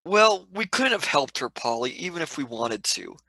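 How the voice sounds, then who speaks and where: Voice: mid-range voice, big pitch swings, normal speed, pushed and bright, dry recording, heavily acted. An actor playing a down-to-earth young male companion in a scripted radio play, blunt and colloquial. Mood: matter-of-fact.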